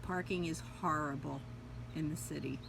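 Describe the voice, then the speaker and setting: A woman speaks in a fairly low voice. She recalls her childhood in a frank, matter-of-fact way. Noise runs steadily behind her. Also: steady tone, moderate pace, creaky. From South Philadelphia. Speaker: interviewee. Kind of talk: recalled personal stories.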